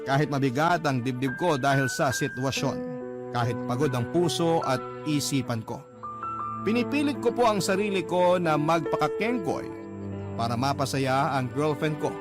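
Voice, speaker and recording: A man's voice: a little high, lively intonation, moderate pace. A man narrating a first-person letter in a radio drama, in an earnest, confessional and respectful way. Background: music.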